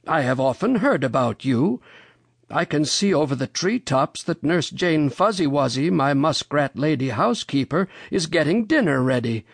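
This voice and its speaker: A reader voicing a genial, excitable old gentleman rabbit from a storybook, polite and given to exclaiming. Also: medium pitch, normal speed, gravelly, slightly rough, no echo. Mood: delighted. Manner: polite, exclamatory, wondering aloud.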